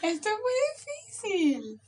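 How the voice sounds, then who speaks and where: Slow, giggly high-voiced young woman. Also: huge pitch swings. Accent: Colombian. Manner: giggly, playful, slow-spoken. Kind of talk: casual chat game with a friend.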